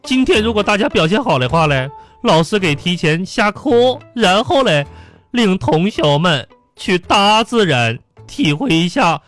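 A woman's voice: medium pitch, very animated, soft background music. A woman playing a bossy, exasperated schoolteacher in a radio comedy sketch. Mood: instructive. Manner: theatrical scolding, drawn-out folksy particles. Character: exasperated scolding female schoolteacher, bossy and theatrical.

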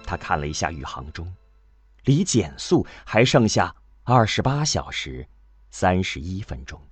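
A man narrating a scripted radio drama in an emotive, deliberate storytelling manner. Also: low voice, big pitch swings, husky, no echo.

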